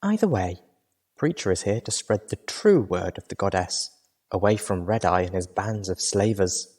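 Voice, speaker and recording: A man doing a soft-spoken refined mare. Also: low voice, lively intonation, moderate pace, slightly rough. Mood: hushed. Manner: soft, hushed.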